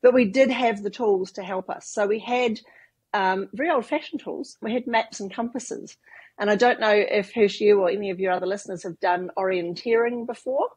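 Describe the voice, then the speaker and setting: A woman's voice: medium pitch, moderate pace, a little mellow, breathy edge. A woman in her fifties from New Zealand, with a New Zealand accent, chatting as a podcast guest and explaining things with long winding examples. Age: 50s. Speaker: podcast guest, branding expert. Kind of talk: casual interview talk.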